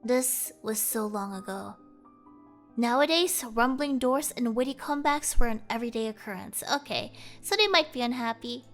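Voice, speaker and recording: A woman reading a visual novel aloud as a let's play host, with expressive narration and brief asides. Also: on the high side, normal speed, faint music behind.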